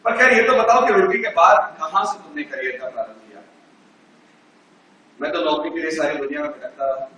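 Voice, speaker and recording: Bright-voiced man echoing in a hall. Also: on the high side, a little fast, a little hiss or hum. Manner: nostalgic, jokey letter-writing tone. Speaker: stage actor reading a letter. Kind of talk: stage play monologue. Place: large auditorium. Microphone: unamplified stage, far from the recorder. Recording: echoey hall from far off.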